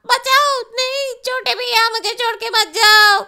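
A woman's voice: very high-pitched, fairly even intonation, unhurried, bright, forceful tone, heavily acted. A woman voicing a panicking male kidnapper who wails and begs for mercy in a children's doll story. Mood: panicked, pleading. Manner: whining, wailing pleas.

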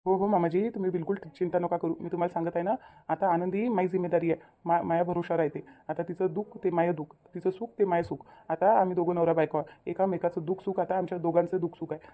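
A woman's voice: fairly low pitch, steady tone, fast talker, warm tone, natural voice. A woman voicing an earnest young bridegroom in a cartoon story, soothing and repetitive, with a Vidarbha Marathi accent. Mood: reassuring. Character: earnest, reassuring young bridegroom, polite son-in-law. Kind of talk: amateur cartoon story dialogue.

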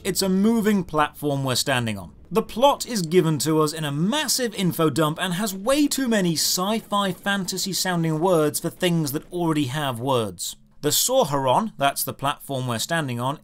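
A man hosting a scripted game review in a sarcastic way, with theatrical emphasis on key words. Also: on the high side. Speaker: video series host.

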